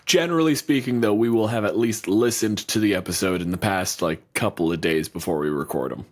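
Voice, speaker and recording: Podcast co-host and game master, male, lower voice, loose filler-heavy chatter. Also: a little fast. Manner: rambling, filler-heavy, swears casually. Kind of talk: casual podcast chat.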